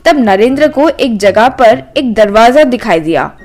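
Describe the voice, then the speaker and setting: A woman's voice: on the high side, expressive, measured pace, darkish tone, soft background music. A woman narrating a scripted children's story.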